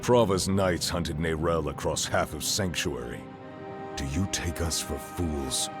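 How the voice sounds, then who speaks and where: An actor playing a grim, troubled wandering warrior in a dark fantasy video game, terse and brooding. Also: male voice, rumbling low, a little slow. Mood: wary. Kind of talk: dramatic video game acting. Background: cinematic background music.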